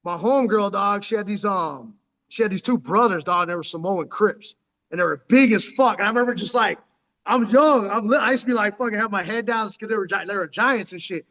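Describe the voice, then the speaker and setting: High-voiced man in his forties, streetwise. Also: fairly quick, bright, forceful tone, in a large hall. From Texas, raised in San Diego. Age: 40s. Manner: streetwise slang, says "dog" often, rambling. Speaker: guest. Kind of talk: casual storytelling interview.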